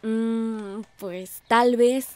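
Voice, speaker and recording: Woman as eager high-voiced teenage niece. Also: steady tone, very slow, dark tone, smooth, soft background music. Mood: curious. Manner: eager, questioning. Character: curious, admiring, outspoken teenage village niece. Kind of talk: radio drama dialogue.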